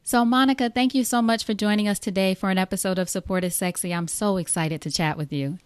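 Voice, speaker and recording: A woman with a smooth, clear voice hosting an upbeat interview podcast on a close mic. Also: medium pitch, fairly even intonation, fast talker, no room sound.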